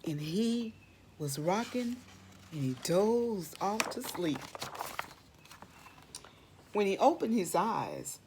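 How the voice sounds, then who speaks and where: A woman reads a children's storybook aloud in a slightly low voice. She goes very slowly and dramatically, repeating phrases for emphasis and putting questions to her young listeners. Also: a little mellow, husky. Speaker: storybook read-aloud reader.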